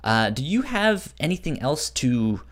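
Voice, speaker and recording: Male co-host for a casual discussion show, conversational, with a wide-ranging pitch. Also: sing-song, measured pace. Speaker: podcast co-host. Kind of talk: casual chat, prompting questions.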